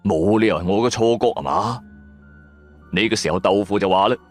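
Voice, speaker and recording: A man's voice: on the low side, a little fast. A man narrating a first-person horror story for radio in a suspenseful, colloquial manner. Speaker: audio drama narrator. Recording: music under the voice. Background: background music.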